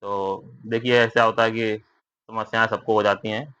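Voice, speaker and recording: A man's voice: lowish voice, little pitch movement, measured pace, bright, forceful tone, clean tone. A young man giving viewers an informal spoken update, conversational and often checking for agreement with tag questions. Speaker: vlogger giving recruitment updates. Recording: dull lo-fi recording.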